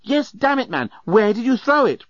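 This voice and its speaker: A man voicing a dreadful, bullying male alien journalist in an audiobook, urgent and exasperated, often yelling. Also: high-pitched, very animated, fairly quick, breathy edge, dry recording, dull audio, voice pushed up, exaggerated voice. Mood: furious.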